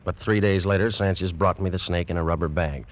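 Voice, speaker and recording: An actor playing a determined, hard-boiled American adventurer in an old-time radio drama, with dramatic, emphatic, terse delivery. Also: male voice, deep voice, steady tone, fairly quick, lightly acted. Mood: grim, suspenseful. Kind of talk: old-time radio drama acting and first-person narration. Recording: muffled vintage radio with music.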